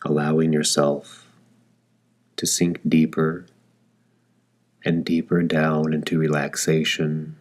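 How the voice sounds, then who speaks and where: Male meditation guide: extremely low pitch, slow delivery, gentle and calm, with long deliberate pauses. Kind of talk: guided meditation, slow soothing scripted narration.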